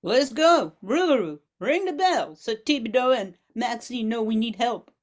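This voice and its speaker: High-voiced older bayou woman in charge, acted by amateur. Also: animated, unhurried. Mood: decisive. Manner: plain, directive, stumbles over script. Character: Mary, practical bayou woman giving orders to shrimpers. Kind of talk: amateur radio play.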